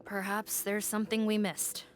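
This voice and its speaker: An actress playing a skeptical, brisk woman officer in an anime game, with a dry, blunt manner. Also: medium pitch, little pitch movement, faint music behind, natural voice. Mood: businesslike, skeptical. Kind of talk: English anime game dub.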